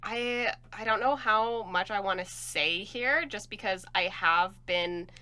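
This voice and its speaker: A woman's voice: mid-range voice, takes it slowly, pushed and bright, smooth. A woman co-hosting a podcast, chatting playfully and laughing easily. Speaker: podcast co-host. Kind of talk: casual chat.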